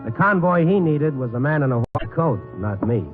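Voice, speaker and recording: An actor playing a world-weary, wisecracking reporter in a hardboiled 1950s radio noir, both narrating and in scene. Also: male voice, a little high, animated, normal speed, close to an everyday voice. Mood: wry, brooding. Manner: hardboiled, wry, terse. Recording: narrow, muffled 1950s radio broadcast. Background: dramatic background music.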